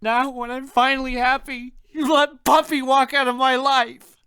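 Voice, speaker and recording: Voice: high-pitched, level tone, moderate pace, pushed and bright, smooth, no room sound, studio quality, pitched above the performer's own voice. A narrator voicing a distraught, heartbroken young protagonist in an audiobook, crying and pleading in a choked-up, strained voice. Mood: bitter, wounded.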